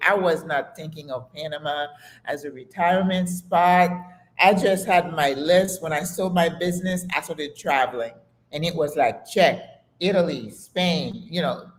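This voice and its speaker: An older woman from Haiti, a retired nurse, telling stories in a relaxed, casual conversation with many fillers. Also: on the low side, poor audio.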